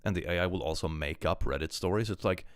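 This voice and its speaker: A young man streaming live with a powerful voice, reading posts aloud and chatting casually, often jumping into excited exclamations. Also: fast, clean tone. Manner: animated, jumps into high excited exclamations.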